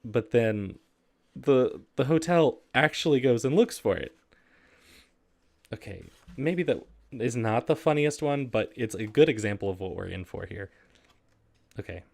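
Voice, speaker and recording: Man, medium pitch, casual video host, giggly, amused reader of comic letters. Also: expressive, measured pace, vocal fry, pauses often, a little mellow. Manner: giggly, often breaking into laughter while reading. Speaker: video host reading humorous letters aloud. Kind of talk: casual chat and amused book reading.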